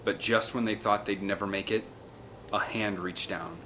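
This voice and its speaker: A man's voice: fairly low pitch, fairly even intonation, moderate pace, sharp tone, a little hiss or hum. A man narrating an adventure audiobook in a dramatic, suspenseful, theatrical way. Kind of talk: dramatic scripted storytelling. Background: orchestral film soundtrack music.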